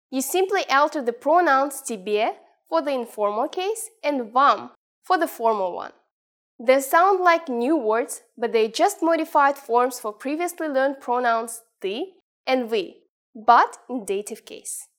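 A young woman speaks English with a Russian accent as she hosts a short scripted lesson. Her voice sits a little higher than most, and she slows down carefully to say each example phrase. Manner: clear, slowed model pronunciation of phrases. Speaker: language teacher, show host.

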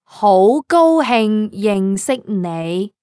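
A native Cantonese woman co-hosting a scripted language lesson, with a Cantonese accent, modelling phrases slowly and carefully. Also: medium pitch, big pitch swings, warm tone, clear, resonant tone, no room sound. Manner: careful slow model pronunciation. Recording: dry studio recording.

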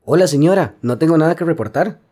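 Guy acting as polite tourist at customs, husky voice, really lively pitch, lesson dialogue. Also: quick pace. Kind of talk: scripted language-lesson dialogue.

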